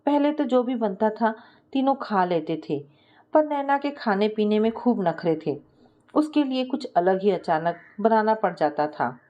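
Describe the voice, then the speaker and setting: A woman's voice: mid-range voice, expressive, a little slow, dull audio. A woman narrating a scripted family story as a storyteller, acting out the dialogue as she reads.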